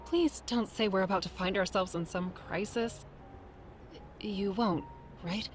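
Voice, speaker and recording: A woman's voice: medium pitch, measured pace, faint music behind, natural voice. A woman playing a wary, curious young monster hunter in a video game.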